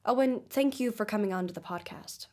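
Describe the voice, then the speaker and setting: Actress as a measured female podcast host. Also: mid-range voice, a little mellow, natural voice. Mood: inquisitive, courteous. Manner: measured, formal, inquisitive. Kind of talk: scripted narration, audio drama documentary.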